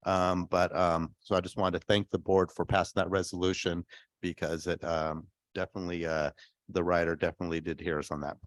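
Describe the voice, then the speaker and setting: A man on a school board giving a halting update, full of ums. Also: low voice, little pitch movement, no room sound. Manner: halting update, many fillers. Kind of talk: formal meeting update. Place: board meeting room.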